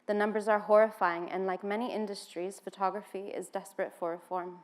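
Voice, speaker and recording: A woman's voice: medium pitch, flat delivery, brisk. An American woman from Washington, D.C., giving an informal seated talk to an audience in a conversational way, with frequent "um" fillers. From United States (Washington, D.C.). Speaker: speaker at a public talk.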